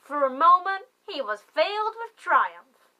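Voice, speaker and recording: A woman narrating a story in a theatrical, deliberate manner, swelling on key phrases for emphasis. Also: very high-pitched, big pitch swings, highs cut off. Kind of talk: dramatic story reading.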